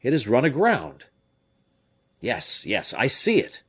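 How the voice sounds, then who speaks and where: A man's voice: medium pitch, huge pitch swings, slow, a bit bright, husky, heavily acted. A man reading an audiobook, voicing a blunt, shrewd male musketeer captain who speaks frankly and with animation. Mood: brisk.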